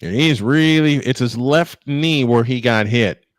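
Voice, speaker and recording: A man's voice: mid-range voice, a little slow, no room sound. A man calling baseball play-by-play live, mixing it with casual chat in a folksy, exclamatory way.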